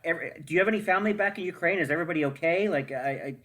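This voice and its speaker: A man co-hosting a sports podcast, talking quickly with stumbling restarts. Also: fairly high pitch, a bit bright, slight background noise. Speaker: podcast co-host, hockey writer.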